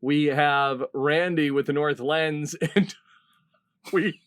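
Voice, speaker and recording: A man's voice: a little high, fairly even intonation, slow, smooth. A middle-aged man hosting a comedy panel quiz over a video call. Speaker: quiz show host.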